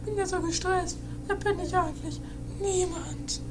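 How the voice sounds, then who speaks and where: A woman's voice: very high-pitched, normal speed, over music. A woman playing a self-important, hysterical actress in a comedic radio play, theatrical and agitated, with exasperated outbursts. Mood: frantic, self-pitying. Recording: noisy lo-fi 1980s radio play.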